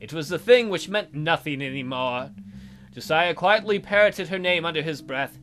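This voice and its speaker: A man with a fairly high, bright, forceful voice reads a visual novel aloud. He narrates dramatically and drops in joking asides. Faint guitar music plays behind him. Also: lively intonation, normal speed. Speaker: let's play host.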